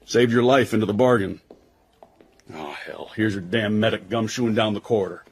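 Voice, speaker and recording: An actor with an American accent playing a tough, loyal intelligence agent in a radio drama, husky, wry and slangy. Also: male voice, on the low side, normal speed, natural voice. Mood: blunt, admiring.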